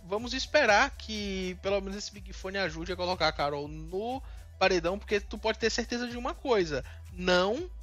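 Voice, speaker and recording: A man's voice: sits high, a bit bright. Talkative man. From Northeast Brazil. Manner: talkative, many restarts, indignant opinions. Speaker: co-host. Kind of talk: casual commentary chat.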